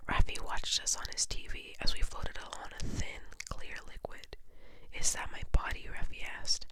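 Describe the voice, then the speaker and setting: A person reading a children's story aloud entirely in a soft, breathy, unvoiced whisper, in an ASMR style. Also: normal speed. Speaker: storybook reader.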